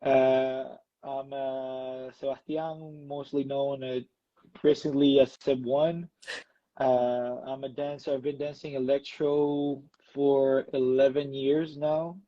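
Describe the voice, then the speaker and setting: A man's voice: medium pitch, level tone, slow pace, no room sound, lo-fi sound. A man from Ecuador, an electro dancer, talking casually in an interview with many fillers and restarts.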